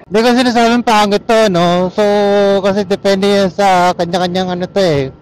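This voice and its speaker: High-voiced Filipino man vlogging on the road. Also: steady tone, moderate pace. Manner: easygoing Taglish code-switching. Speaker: motorcycle vlogger. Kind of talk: casual rambling vlog chat while riding. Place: outdoors on a motorcycle, inside a helmet. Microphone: helmet intercom or action camera mic. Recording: helmet mic with engine and road noise.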